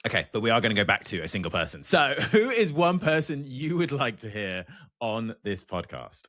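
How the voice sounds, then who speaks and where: A man's voice: medium pitch, very animated, normal speed, a bit bright. A man hosting a podcast interview, affirming and enthusiastic as he responds and asks questions. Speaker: podcast host. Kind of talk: conversational interview.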